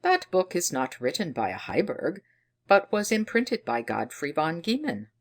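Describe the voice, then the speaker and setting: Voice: mid-range voice, sing-song, moderate pace, pitched above the performer's own voice. A narrator voicing an eager, jovial male scholar-clergyman in a storybook, bookish and emphatic. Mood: eager, cheerful.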